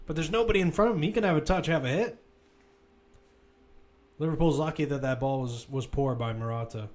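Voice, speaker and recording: A man's voice: on the high side, fairly quick, darkish tone. A man giving live football play-by-play commentary, describing the action as it runs, with asides and self-corrections.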